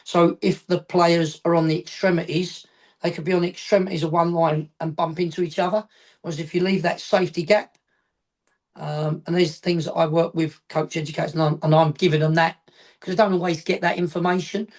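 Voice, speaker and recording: A man's voice: fairly high pitch, little pitch movement, brisk. A middle-aged man, a football coach educator, explaining at length on a video call from his home office, wandering and adding afterthoughts. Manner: rambling, repeats points, adds afterthoughts. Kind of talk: casual explanatory chat.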